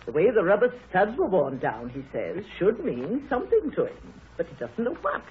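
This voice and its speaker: A woman's voice: lowish voice, wildly swooping pitch, normal speed, slight background noise. An actress playing a chatty, nosy woman who fancies herself a sleuth, coy and insistent, in 1930s radio style. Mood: coy, teasing. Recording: muffled narrow-band 1930s radio broadcast.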